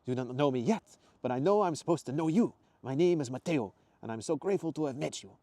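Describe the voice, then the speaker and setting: An effusive Italian traveler acted by a man reading a storybook, earnest and grateful. The voice is raised and swings widely in pitch, with an Italian accent. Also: animated, fast talker, dark tone, dry recording. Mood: sincere, grateful.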